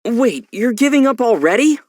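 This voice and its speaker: A man voicing a thoughtful, gently wry young adventurer in an anime-style video game, speaking in a measured, articulate way. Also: very high voice, big pitch swings, background noise. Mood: amused, teasing. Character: young male traveler hero, calm, thoughtful, gently wry.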